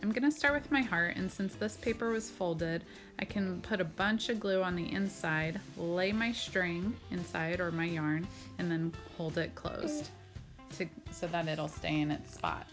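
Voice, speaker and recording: Lady art teacher walking kids thru a craft simply, lowish voice, music pretty loud behind her. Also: unhurried. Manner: simple, step-by-step explaining addressed to children. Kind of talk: instructional narration of a craft tutorial for children. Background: background music.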